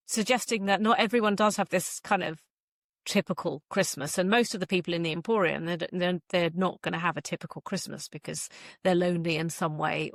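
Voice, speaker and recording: Woman author on a podcast, lowish voice, just chatting casually, dry sound no echo. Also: fairly bright tone. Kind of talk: casual interview chat.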